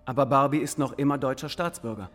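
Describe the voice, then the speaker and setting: An actor playing a firm, businesslike government minister in a radio drama. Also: male voice, medium pitch, fast talker, a bit bright, faint music behind, lightly acted. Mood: firm, insistent.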